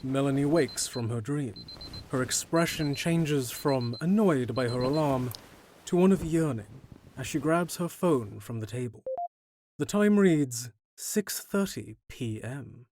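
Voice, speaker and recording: A man's voice: mid-range voice, expressive, measured pace, a little mellow. A man reading scripted, descriptive narration for an audio drama.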